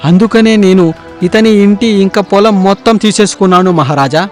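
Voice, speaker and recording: Man as a high-voiced greedy landlord. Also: fairly quick, faint music behind, close to an everyday voice. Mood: self-righteous. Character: greedy, self-justifying landlord moneylender.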